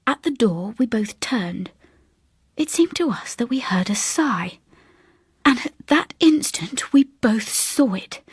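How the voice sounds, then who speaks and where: A woman voicing a sombre, haunted older spinster recounting a ghostly tragedy in a hushed, halting, grave manner. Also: a little high, lively intonation, breathy edge, no room sound, clean studio sound, heavily acted. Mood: haunted, grieving. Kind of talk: dramatic ghost story reading.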